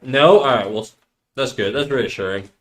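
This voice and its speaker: A man's voice: mid-range voice, normal speed, fairly bright tone. A man of thirty chatting casually on a live stream, rambling in slang and reacting to chat messages. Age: 30s.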